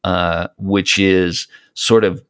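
A man's voice: sits low, little pitch movement, drawn-out words, clear, resonant tone. A man chatting as a podcast guest, explaining things in an enthusiastic, rambling way. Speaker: podcast guest, voice of an audio show. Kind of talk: casual conversational interview.

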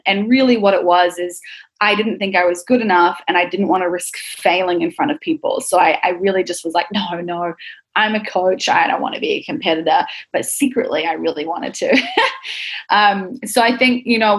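A woman's voice: fairly low pitch, a bit bright. Thoughtful young New Zealand woman chatting candidly. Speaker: interviewed athlete and fitness coach. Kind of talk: casual interview talk.

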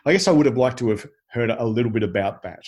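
A man with a medium-pitched voice gives casual feedback as a podcast co-host. He explains his points reflectively, in relaxed discussion style. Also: quick pace.

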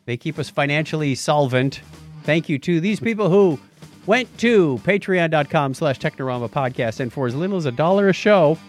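A man's voice: on the high side, lively intonation, normal speed, faint music behind. A man hosting a podcast in casual chat, with jokey, informal asides. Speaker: podcast host.

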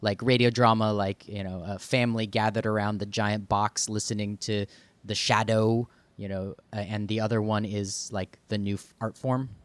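A man hosting a podcast in a conversational interview style, with informal, halting phrasing. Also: fairly low pitch, little pitch movement, clear, resonant tone, no echo. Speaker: podcast host.

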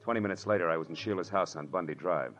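A man's voice: low-pitched, racing, fairly bright tone. An American man playing a world-weary, wry private detective who narrates his case in clipped 1940s radio drama style. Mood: terse, wry. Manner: clipped, wry, hardboiled delivery. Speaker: lead actor and narrator. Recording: dull old radio drama recording, music.

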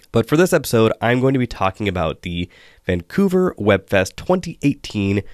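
A man hosting a solo podcast, hoarse and losing his voice, chatting with wry asides. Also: on the low side, darkish tone, no echo, clean studio sound. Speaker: podcast host.

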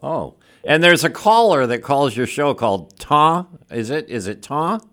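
A man's voice: a little high, big pitch swings, measured pace, fairly bright tone. A man filling in as a radio host, chatting casually in a folksy, bantering way. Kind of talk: casual local radio talk.

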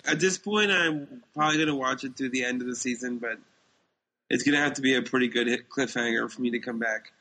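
A man's voice: mid-range voice, fast talker, pushed and bright, poor audio. A man co-hosting a podcast, giving blunt opinions in casual conversation. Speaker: podcast co-host.

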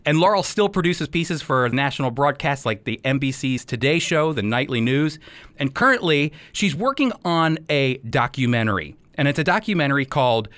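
Clear, close-miked American male radio host. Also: mid-range voice, animated, moderate pace, fairly bright tone, no room sound. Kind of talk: radio commentary and interview.

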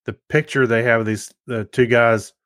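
A man's voice: mid-range voice, little pitch movement, normal speed, a bit bright, no room sound. Male co-host, very dry close sound. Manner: laughs readily, good-humored reactions. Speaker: podcast co-host. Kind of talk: casual chat.

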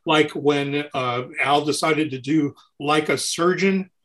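A man chatting casually as an interview guest, telling rambling, animated anecdotes in a talkative way. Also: a little high, slow. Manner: gabby, rambling, animated storyteller. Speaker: interview guest, touring keyboard player.

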